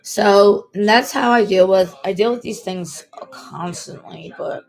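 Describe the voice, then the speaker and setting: A woman's voice: mid-range voice, a little slow, vocal fry, noisy background. Woman, late twenties, casual vlog talk, rambling, repeats herself, many fillers and restarts. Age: 20s. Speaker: vlogger. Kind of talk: casual personal talk to camera.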